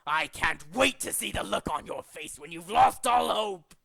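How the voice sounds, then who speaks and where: A man voicing a megalomaniac villainous cult leader from a video game, scheming, pompous and contemptuous, moving between hushed menace and ranting. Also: sits high, animated, moderate pace, creaky, no echo, studio quality, heavily acted. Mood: menacing. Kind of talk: theatrical video game villain monologue, demo reel.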